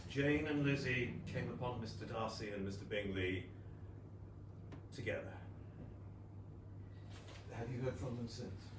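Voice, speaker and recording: An actor playing a gentle, pleading parent of grown daughters in Regency England, coaxing and theatrical, in a staged reading. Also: male voice, mid-range voice, steady tone, a little slow, long pauses, close to an everyday voice. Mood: explanatory. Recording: echoey theatre, distant noisy mic.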